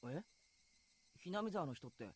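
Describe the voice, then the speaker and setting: A man's voice: a little high, animated, fast, slightly rough. A man acting an earnest, curious teenage boy in a Japanese visual novel. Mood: earnest, subdued.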